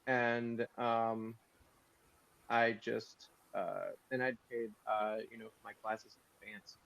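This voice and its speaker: A man's voice: medium pitch, flat delivery, takes it slowly, creaky, a bit bright. A man chatting casually and informally as a co-host on a livestream. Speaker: podcast writer and co-host. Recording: dull, muffled stream audio.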